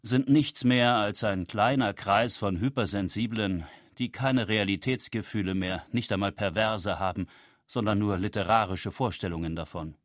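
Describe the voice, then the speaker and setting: Guy reading as a disdainful male novelist, low voice, literary quote, dry close sound. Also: moderate pace, no room sound, natural voice. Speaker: reader of author quotations.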